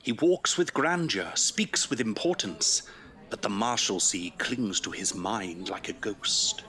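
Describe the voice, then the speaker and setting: Low-pitched male dramatic narrator. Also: animated, slow pace, bright, forceful tone. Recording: music behind the voice.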